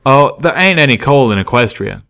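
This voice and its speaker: A man voicing an affable rustic train-worker pony who explains things in a simple, folksy, drawn-out way for an audiobook. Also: medium pitch, lively intonation, creaky, sharp tone, smooth, pitched above the performer's own voice. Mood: matter-of-fact. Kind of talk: audiobook character voice.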